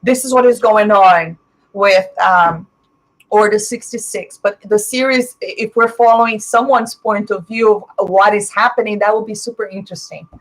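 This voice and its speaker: A woman's voice: mid-range voice, fairly even intonation, normal speed, rough recording. A woman from Brazil whose first language is Portuguese, hosting a casual live stream, chatting and answering viewer comments with lots of fillers. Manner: chatty, opinionated, frequent fillers.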